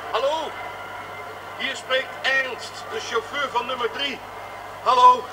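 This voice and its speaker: A flustered male chauffeur, with a strikingly high voice. He is bewildered and defensive in radio-play fashion. Clear noise runs through the recording. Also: fairly even intonation, slow pace. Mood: agitated. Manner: agitated, bewildered. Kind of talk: radio drama acting. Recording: noisy old radio drama recording.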